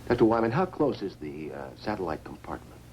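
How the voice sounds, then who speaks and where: A thoughtful male technical scientist with a fairly low voice. He reasons in a measured, analytical way in 1950s science fiction style. The old film soundtrack carries faint noise. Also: big pitch swings, darkish tone, low-quality recording.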